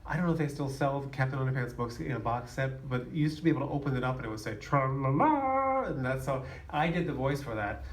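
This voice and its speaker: A man's voice: medium pitch, expressive, quick pace, few pauses. A man, the author on a press panel, speaking modestly and chuckling as he talks. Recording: distant roomy hall with faint noise.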